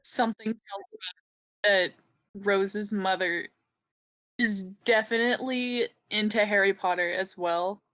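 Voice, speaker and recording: A woman's voice: mid-range voice, a little slow, fairly bright tone, low-quality recording. A woman co-hosting a livestream with casual, chatty commentary. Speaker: livestream co-host.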